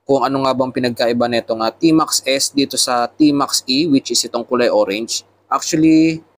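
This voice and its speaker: A man with a Filipino accent giving a casual product tutorial, explaining things step by step as a motovlogger. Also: medium pitch. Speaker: motovlogger reviewing motorcycle intercoms.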